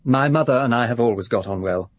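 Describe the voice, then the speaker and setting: An actor playing a fastidious, genteel architect-decorator in his thirties in a radio drama, speaking precisely and with stiff politeness. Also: medium pitch, close to an everyday voice. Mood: guarded, precise. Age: 30s.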